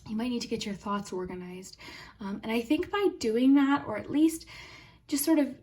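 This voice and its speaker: A young woman with a slightly hoarse, sore throat giving a chatty tarot reading to her viewers, friendly and soft-spoken, sometimes dropping to a whisper. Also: a little high, moderate pace. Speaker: tarot reader and channel host. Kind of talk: chatty pick-a-card tarot reading to camera.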